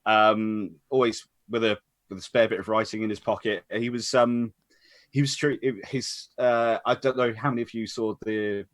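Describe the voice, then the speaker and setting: A man's voice: fairly low pitch, fairly even intonation, moderate pace, no echo. British man, dry close sound, rambling chat. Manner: rambling, stop-start, repeats phrases. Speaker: host of an online tribute discussion. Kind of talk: casual reminiscing chat.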